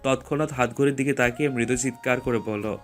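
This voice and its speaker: A man's voice: medium pitch, brisk, soft background music. A man reading scripted story narration as the narrator.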